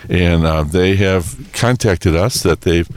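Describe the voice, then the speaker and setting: A man's voice: low-pitched, slow pace, darkish tone, no room sound. A man giving casual explanatory talk as a technology expert on a radio segment.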